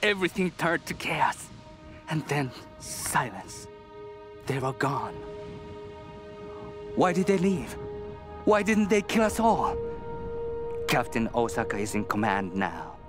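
An actor playing a terrified, doomed Japanese soldier in a video game, speaking in an anguished, halting way. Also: male voice, medium pitch. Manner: halting, haunted, anguished delivery. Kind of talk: dramatic video game diary narration.

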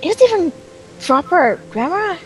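A woman's voice: very high voice, big pitch swings, soft background music, poor audio. A woman co-hosting a casual group chat over gameplay, reading quest text aloud with small slips. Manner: reads game text haltingly with small slips.

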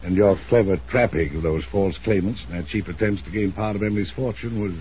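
An actor playing a wealthy, proud, quick-tempered older gentleman in a 1950s radio drama, speaking formally and emphatically. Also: low voice, natural voice. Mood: formal, earnest. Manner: formal, emphatic, courteous to guests. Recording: muffled, noisy old radio recording.

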